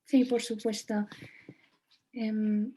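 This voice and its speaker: A woman moderating an online lecture and translating, measured and slightly hesitant. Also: fairly high pitch, little pitch movement, dark tone, low-quality recording. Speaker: interpreter and moderator.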